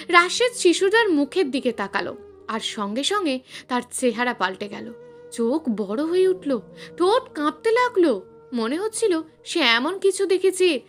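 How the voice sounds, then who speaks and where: A woman's voice: high voice, fairly bright tone. A woman narrating a scripted suspense story in a dramatic, emphatic storytelling manner.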